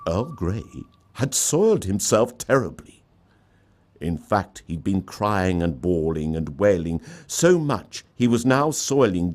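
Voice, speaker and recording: Man, storyteller, low pitch, hugely varied intonation, theatrical, mock-grave, dramatic pauses. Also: a little mellow. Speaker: storyteller reading own short story. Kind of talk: dramatic scripted story narration.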